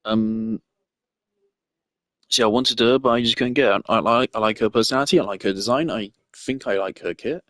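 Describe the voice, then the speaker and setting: A man's voice: mid-range voice, normal speed, fairly bright tone, no echo. A man giving casual gameplay commentary as a streamer, rambling and thinking aloud. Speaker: game streamer.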